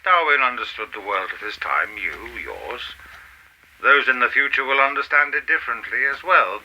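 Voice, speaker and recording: A man plays a lofty, otherworldly teacher in a radio drama. His voice is mid-pitched and very bright, with a crisp, forceful edge. He speaks cryptically in calm, formal, measured phrases, over faint noise. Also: poor audio. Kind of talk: radio play acting.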